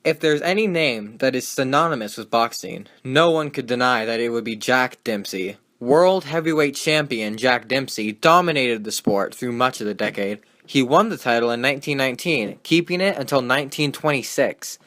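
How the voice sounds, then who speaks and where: A man's voice: mid-range voice, normal speed, few pauses, fairly bright tone, natural voice. Man playing a wisecracking 1920s radio sports host. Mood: enthusiastic. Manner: folksy, slangy, sardonic. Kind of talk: 1920s radio broadcast acting with period slang.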